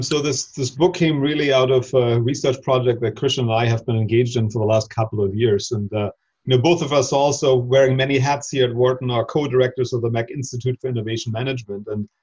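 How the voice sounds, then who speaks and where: A man explains business research as a guest, measured and thoughtful, leaning on fillers. His voice is a bit husky. The sound is dull and lo-fi, like a remote connection. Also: mid-range voice, steady tone, a little mellow. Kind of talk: explaining in an interview.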